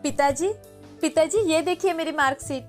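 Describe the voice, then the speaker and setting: A woman performs an earnest young woman, a respectful daughter from a cartoon moral story. Her voice sits very high and stays courteous and eager. Music plays underneath. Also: normal speed, close to an everyday voice. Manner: polite, earnest. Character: eager, respectful young daughter, bright student and office worker.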